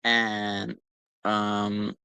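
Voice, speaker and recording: A man's voice: fairly low pitch, completely flat delivery, extremely slow, gravelly, bright, forceful tone, smooth, no room sound. A young man chatting casually about working at a store, rambling with lots of ums and you-knows. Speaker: former pop-up store worker answering questions. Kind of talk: casual chat.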